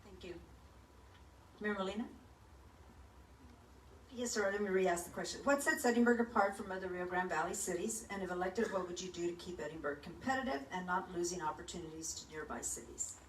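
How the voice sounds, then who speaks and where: A woman moderating a candidate forum, reading questions in a formal, clear way. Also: on the low side, brisk. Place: large hall stage. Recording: echoey hall from far off, faint hum.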